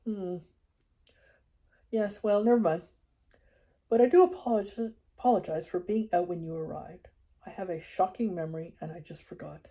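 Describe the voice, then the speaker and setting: A woman voicing a relaxed, amused English gentleman with an English accent, speaking in an offhand way. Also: on the low side, moderate pace, pauses often, natural voice.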